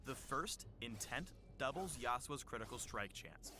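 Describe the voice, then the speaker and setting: A man's voice: medium pitch, expressive, measured pace. A man reading scripted explainer narration as the presenter of a game character spotlight.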